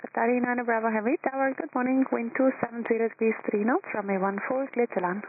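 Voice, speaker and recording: Female tower controller, higher-pitched and quick, procedural and courteous, heard through narrowband radio. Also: fairly even intonation. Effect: radio transmission. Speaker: airport tower air traffic controller. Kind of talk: clipped radio phraseology, giving clearances. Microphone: air traffic radio. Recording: tinny narrowband radio with faint static.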